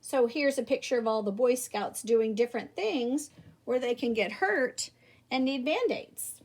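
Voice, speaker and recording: A woman's voice: a little high, expressive, measured pace, a little mellow. A woman reading a children's book aloud expressively and emphatically, speaking directly to young listeners. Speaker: storybook reader.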